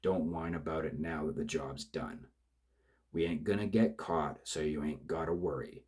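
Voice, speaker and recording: Rough, smoke-worn killer, very low and husky, slow contempt, read by a male narrator. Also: animated, darkish tone, heavily acted. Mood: menacing. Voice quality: rough, tobacco-cured.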